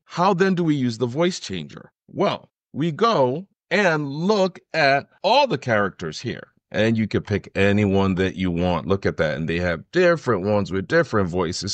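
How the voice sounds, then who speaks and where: Male tutorial host, forties, low pitch, very lively emphatic delivery, dry close recording. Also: no echo. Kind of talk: enthusiastic scripted-sounding screen tutorial.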